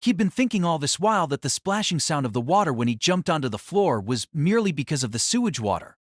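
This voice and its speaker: Higher-voiced male narrator, very dry sound. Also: big pitch swings, fast, no echo. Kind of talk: scripted dramatic narration of a fantasy novel.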